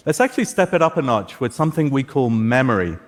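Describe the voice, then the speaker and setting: A man's voice: medium pitch, sing-song, fast talker. A man whose first language is Persian, presenting a keynote demo in an upbeat, scripted way with light jokes. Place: large conference hall stage. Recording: long reverb of a large hall.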